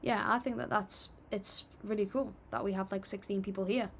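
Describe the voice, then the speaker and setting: A woman's voice: mid-range voice, steady tone, normal speed, slight background noise. A young woman hosting a casual livestream, chatting and reading viewer comments aloud in an upbeat, apologetic way. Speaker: livestream music reactor.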